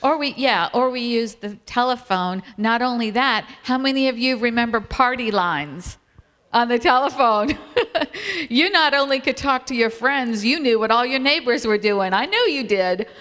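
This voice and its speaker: A woman's voice: fairly high pitch, normal speed, fairly bright tone. A middle-aged or older American woman giving a religious family seminar talk to a live audience. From Appalachian Virginia, United States. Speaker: seminar speaker. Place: large hall at a camp meeting. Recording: reverberant hall sound system.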